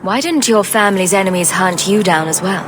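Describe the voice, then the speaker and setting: A woman's voice: medium pitch, animated, fairly quick, over music, close to an everyday voice. An actress playing a self-assured female adventurer in a fantasy video game, direct, composed and probing.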